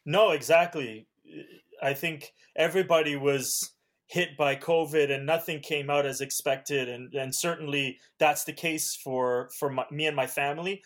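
Man, raised in Canada, Hungarian native, evangelist, earnest, halting, slightly high-pitched. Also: fairly even intonation, fairly bright tone. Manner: earnest, halting with frequent restarts. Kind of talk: podcast interview.

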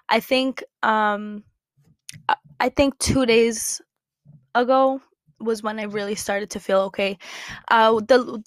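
A woman's voice: medium pitch, fairly bright tone, no echo. Congested young woman chatting casually. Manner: rambling, with many fillers and restarts. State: congested, with a slight cough. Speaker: podcast host. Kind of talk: casual faith podcast monologue. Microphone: computer setup, looking at notes.

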